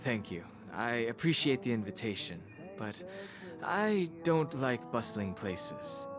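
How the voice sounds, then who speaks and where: A man voicing a calm, reserved, dutiful young guard in a video game, speaking in a measured, polite way over music. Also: medium pitch. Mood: calm, courteous. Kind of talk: video game character acting.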